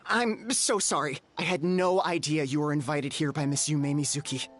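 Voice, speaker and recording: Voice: mid-range voice, normal speed, close to an everyday voice. A voice actor playing a flustered, eager-to-please bathhouse owner in a game, nervous and overly polite. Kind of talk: game voice acting. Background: faint game music.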